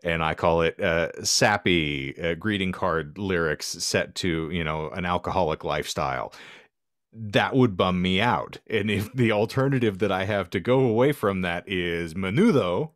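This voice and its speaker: A man's voice: low voice, normal speed, no room sound, studio quality. A man co-hosting a podcast, talking casually in a wry, wordy way with an ornate vocabulary. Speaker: podcast co-host.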